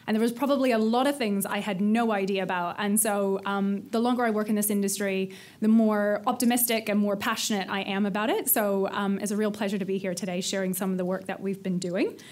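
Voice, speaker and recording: A Canadian woman talking fast from a podium in a big conference hall. She fills her talk with ums and light self-deprecating jokes. Her delivery is quick and chatty. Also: mid-range voice, fairly even intonation, a little mellow. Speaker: presenter. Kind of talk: conference presentation. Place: large hall with stage and audience.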